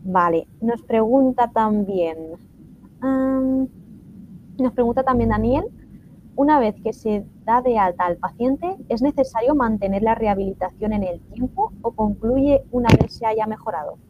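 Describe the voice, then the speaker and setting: A woman's voice: on the high side, a little slow. Moderator, woman, muffled lo-fi line, faint hiss. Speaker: conference moderator. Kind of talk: reading audience questions. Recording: dull lo-fi sound, faint noise.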